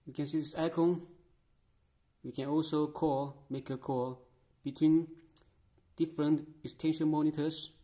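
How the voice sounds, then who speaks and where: A man giving a casual step-by-step product demonstration in short, halting phrases, in a small room. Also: a little high, flat delivery, mellow. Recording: music behind the voice. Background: music.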